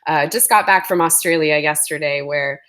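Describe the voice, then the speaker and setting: A woman's voice: low-pitched, moderate pace, fairly bright tone. A woman presenting an educational webinar, speaking with a sore throat and explaining things with frequent ums.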